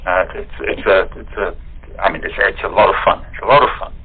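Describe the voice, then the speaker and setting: A man with a lowish, husky voice talks casually as a guest. He is wry and wordy, halting often as he goes. It sounds like a narrow phone connection. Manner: wry, wordy, hesitant delivery. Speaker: actor guest. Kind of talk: casual chat. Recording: muffled, narrow phone-like line.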